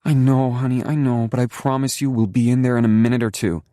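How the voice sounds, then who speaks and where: Protective, firm woman in a crisis, voiced by a man, soft-spoken but urgent, dry booth sound. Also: fairly low pitch, normal speed, no echo, pitched above the performer's own voice, natural voice. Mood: soothing, urgent. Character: protective determined adult woman, calm under pressure. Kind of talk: audiobook character voice.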